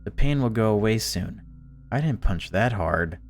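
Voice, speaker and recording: A man reading the lines of a dangerous young female stalker, calmly scolding and falsely apologetic. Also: on the low side, normal speed. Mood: dismissive.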